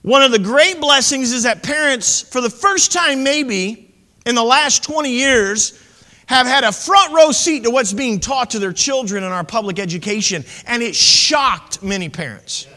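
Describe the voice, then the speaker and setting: Impassioned high-voiced preacher man in a reverberant hall. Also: expressive, unhurried, bright, forceful tone. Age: 30s. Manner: impassioned, conversational preaching with asides. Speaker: pastor. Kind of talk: sermon.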